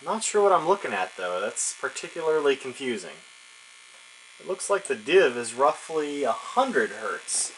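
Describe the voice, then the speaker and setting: A man's voice: mid-range voice, very animated, a little slow, a bit bright, low-quality recording. Casual man thinking aloud, some background noise. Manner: informal, musing to himself while working. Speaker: electronics hobbyist narrating his build. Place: cluttered indoor electronics workbench.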